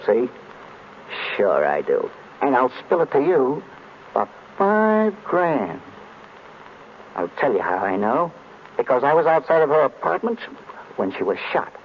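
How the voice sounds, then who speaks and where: An actor playing a shady small-time informer with furtive, slangy tough-guy talk in an old radio crime drama. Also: mid-range voice. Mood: conspiratorial. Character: shady male small-time informer peddling a secret by telephone. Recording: dull, noisy old radio recording.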